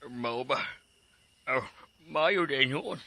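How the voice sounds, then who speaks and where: A man's voice: mid-range voice, drawn-out words, creaky, pushed and bright, heavily acted. An actor playing a joking middle-aged father who teases and reminisces in a radio drama. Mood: teasing. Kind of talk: Cebuano radio drama acting.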